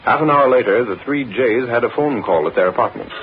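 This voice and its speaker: A man's voice: medium pitch, expressive, a little fast, noisy background, close to an everyday voice. A man narrating an old-time radio adventure serial in a scripted, dramatic style. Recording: dull old radio broadcast recording. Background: music.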